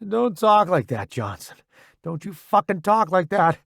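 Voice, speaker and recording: Man acting a forceful, determined soldier. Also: a little high, animated, normal speed, no echo, studio quality, voice pushed up. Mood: fierce, determined. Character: determined, weary male soldier, gruff and protective.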